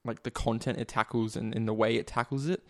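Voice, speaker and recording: A man, co-hosting a casual film podcast, who talks haltingly with frequent restarts and filler words. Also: mid-range voice, fairly even intonation, fairly bright tone. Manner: halting, lots of "like" and restarts, laughs easily. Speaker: podcast co-host.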